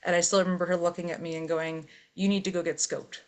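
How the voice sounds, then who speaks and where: A woman with a fairly low voice, a metal vocalist, talks through her story in a casual interview. She is open and unhurried and leans on easy fillers as she goes. Her manner stays relaxed and conversational.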